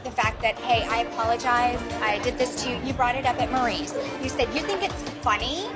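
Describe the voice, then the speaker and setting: A woman's voice: on the high side, fairly quick, over loud music, over a noisy crowd. A woman on a reality show accusing another cast member, blunt and profane. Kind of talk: heated reality TV argument.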